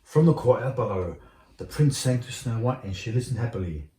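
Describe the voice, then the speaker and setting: A man's voice: medium pitch, expressive, brisk, warm tone. A man reading a storybook aloud like a father at bedtime, occasionally stumbling over the words. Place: indoor room at home. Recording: roomy home recording, faint noise.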